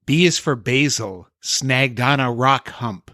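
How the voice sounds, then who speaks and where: Male podcast host, mid-pitched, close dry recording, scripted bedtime-story delivery with a comic bent. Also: takes it slowly, sharp tone, no echo. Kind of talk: scripted comedy dialogue and storybook reading.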